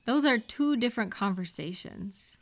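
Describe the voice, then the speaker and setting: Higher-pitched woman giving supportive advice. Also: expressive, normal speed. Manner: reassuring, explanatory, speaking directly to one listener. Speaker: audio roleplay performer giving supportive advice. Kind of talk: conversational one-sided supportive advice roleplay.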